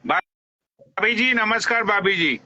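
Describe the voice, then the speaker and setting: A man's voice: sits high, slow pace, bright, forceful tone, lo-fi sound. A man hosting a live stream, teasing his guest with crude, mock-respectful banter and frequent swearing.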